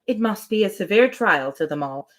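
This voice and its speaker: A woman reading a novel aloud, voicing a poised young gentlewoman who asks questions in a measured, formal, sympathetic manner. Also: mid-range voice, lively intonation, pitched below the performer's own voice, close to an everyday voice. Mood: polite, restrained.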